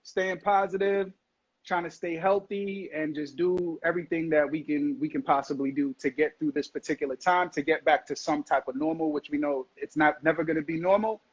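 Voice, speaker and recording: A man from Jamaica, a guest in a relaxed chat on a live video call, who repeats words and often says "facts". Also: a little high, fairly quick, slightly rough. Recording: dull lo-fi call audio.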